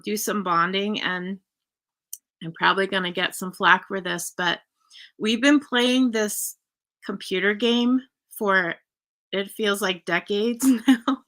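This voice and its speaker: A woman's voice: medium pitch, pushed and bright. A middle-aged woman on a panel, sharing personal anecdotes in a casual, informal, slightly rambling way. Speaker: panel speaker, parent.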